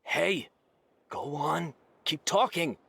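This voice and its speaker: An actor voicing a skeptical, nervous desert villager in a video game. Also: male voice, on the high side, slow, creaky, bright, forceful tone, slight background noise, full character voice.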